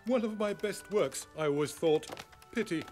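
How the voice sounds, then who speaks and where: A man's voice: high-pitched, lively intonation, normal speed, a little mellow, faint music behind. A man playing a mild, doddering elderly cartoon professor with a polite, hesitant, old-fashioned manner. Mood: mild, musing. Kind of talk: cartoon character acting.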